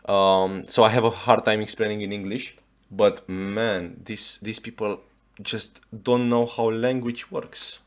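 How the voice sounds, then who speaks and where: A man's voice: lowish voice, fairly even intonation, unhurried. A man from Romania speaking English with a Romanian accent as a reaction video host, swearing often and restarting his sentences as he rants in exasperation. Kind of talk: ranting reaction commentary.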